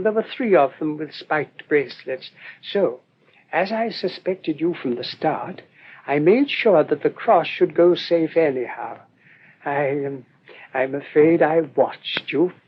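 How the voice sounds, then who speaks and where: Unassuming, shrewd British cleric for radio drama; higher-set male voice, gently hesitant, apologetic, muffled vintage sound. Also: husky. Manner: gently apologetic, rambling, quietly confident. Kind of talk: old-time radio drama acting. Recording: dull, noisy old radio recording.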